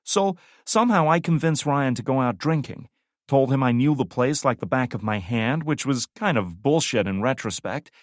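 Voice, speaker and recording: A man's voice: mid-range voice, animated, moderate pace, no echo, clean studio sound, voice pushed up, natural voice. A man voicing a brash, foul-mouthed young woman soldier who tells a story with boisterous, swearing glee. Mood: gleeful telling.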